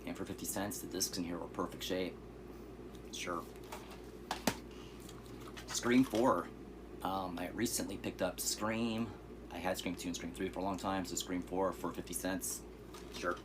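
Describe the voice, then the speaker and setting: A hoarse man showing off his thrift-store movie finds in a relaxed, rambling way, with fillers and asides. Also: low-pitched, unhurried, pauses often, background noise. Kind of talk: casual vlog.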